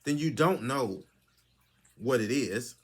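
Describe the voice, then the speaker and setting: A man's voice: medium pitch, expressive, takes it slowly, slight background noise. A man hosting his own channel, giving a lecturing monologue that is full of rhetorical questions. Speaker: channel host. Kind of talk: preachy monologue commentary.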